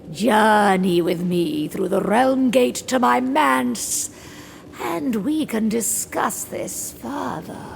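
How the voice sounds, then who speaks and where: A woman's voice: on the high side, creaky, a little hiss or hum, heavily acted. A woman playing a haughty, scheming undead lady in a video game, speaking in a formal, theatrical, condescending way. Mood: commanding, persuasive. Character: haughty, silky undead noblewoman, scheming ghostly mistress. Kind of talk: video game cutscene acting.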